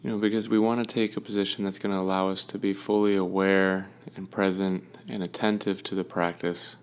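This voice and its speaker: Low, gravelly man guiding meditation. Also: flat delivery, a little fast. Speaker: meditation guide. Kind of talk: guided meditation, gentle instruction.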